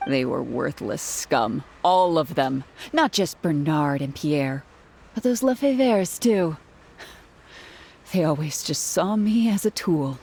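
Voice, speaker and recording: A woman's voice: low-pitched, animated, takes it slowly, darkish tone, slight background noise, exaggerated voice. A woman voicing a bitter, cornered female villain and turncoat guard in a video game, with a scornful, theatrical, volatile delivery. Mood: bitter, anguished. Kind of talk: dramatic video game voice acting.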